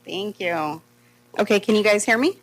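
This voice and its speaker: A woman's voice: mid-range voice, moderate pace. Upbeat woman presenting to a board. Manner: upbeat, friendly, with light laughs. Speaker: communications consultant presenting. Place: meeting room.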